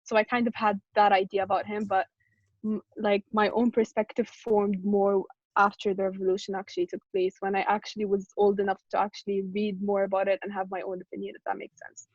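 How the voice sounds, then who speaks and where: A woman's voice: mid-range voice, flat delivery, fairly quick, no echo. Young woman, dry and slightly muffled sound. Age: 20s. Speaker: discussion participant. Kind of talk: casual opinion sharing. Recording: dry, dull online call audio.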